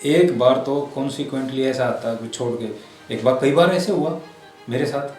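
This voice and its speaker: In an echoey room with music underneath, a middle-aged man tells stories casually. His pitch is mid-range, and he speaks in a halting, colloquial way. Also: darkish tone. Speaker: interview guest, cartoonist. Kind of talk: casual anecdotal interview talk. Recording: roomy echo, music underneath.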